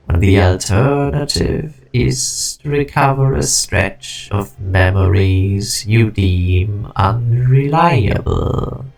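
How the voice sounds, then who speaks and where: A man's voice: fairly low pitch, vocal fry, darkish tone, exaggerated voice. A man voicing an ancient artificial personality, the weary preserver of a dying civilization, in a grandiose, ponderous game fan dub. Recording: music behind the voice. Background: music.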